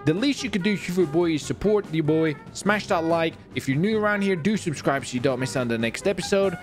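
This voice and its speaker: A man giving excitable, exclamatory gaming commentary as the host of a roleplay video. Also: on the high side, brisk, soft background music. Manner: animated, exclamatory, swearing.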